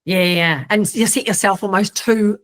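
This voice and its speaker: A woman's voice: medium pitch, normal speed, a bit bright. A woman with an Australian accent hosting a podcast, encouraging her guest and summing up what she says. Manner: encouraging, affirming, sums up the guest's points. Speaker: podcast host. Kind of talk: interviewing in casual conversation.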